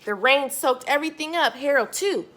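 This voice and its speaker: A woman's voice: sits high, lively intonation, normal speed, a bit bright, a little hiss or hum. A woman reading a picture book aloud to young children, expressively, stressing key words and speaking directly to her listeners.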